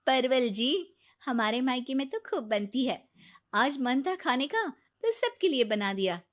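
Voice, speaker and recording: A woman's voice: high-pitched, very animated, a little slow. A woman voicing an earnest, unsure young married daughter with a plaintive, questioning manner in a cartoon moral story. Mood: sheepish.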